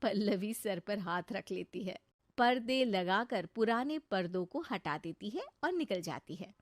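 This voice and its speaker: A woman's voice: mid-range voice, expressive, unhurried, no room sound, studio quality. A woman narrating a scripted cartoon story as a storyteller.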